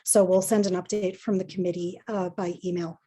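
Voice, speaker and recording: A woman's voice: on the low side, flat delivery, a little fast, warm tone, slightly rough. A woman giving an informal committee update at a neighborhood meeting, chatty and rambling with many restarts. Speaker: committee member.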